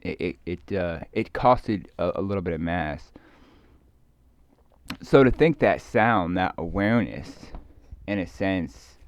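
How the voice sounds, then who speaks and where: A man rambling casually to himself in a halting, hesitant way, repeating words and trailing off mid-thought as he muses. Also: sits low, lively intonation, no room sound. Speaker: video creator. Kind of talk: rambling casual solo monologue. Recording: dull, muffled lo-fi recording.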